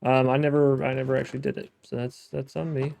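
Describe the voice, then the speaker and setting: A man with a gravelly, mellow voice chats his way through a modded building game, musing aloud and correcting himself as he plays. The sound is dry and close to the mic. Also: mid-range voice, level tone, fast talker, no room sound. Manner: chatty, thinking aloud, frequent restarts and self-talk. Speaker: gaming let's-play host. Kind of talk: casual gameplay commentary.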